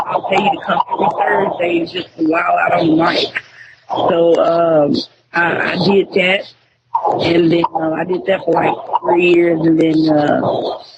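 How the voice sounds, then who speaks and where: A woman's voice: lowish voice, muffled recording, heavy background noise. A young woman, talking in a laid-back, slangy way as a radio host being interviewed. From Tyler, Texas. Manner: laid-back slangy talk, many fillers. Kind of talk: casual interview chat.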